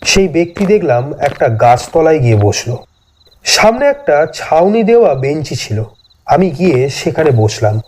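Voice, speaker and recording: A man's voice: fairly high pitch, very animated, moderate pace, a little mellow, slight background noise. A man telling a scripted first-person horror story as a dramatic narrator.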